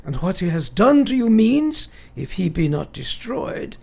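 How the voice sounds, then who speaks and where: An actor playing a grave, commanding elderly professor in a radio play, speaking in formal, old-fashioned, deliberate phrases. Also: male voice, high-pitched, big pitch swings, creaky, dark tone, full character voice.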